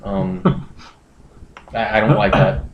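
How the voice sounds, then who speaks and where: A man's voice: fairly low pitch, expressive, slow pace, lo-fi sound. A man hosting a comedy podcast, casually reading internet posts aloud with wry, crude asides. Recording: roomy echo, faint noise.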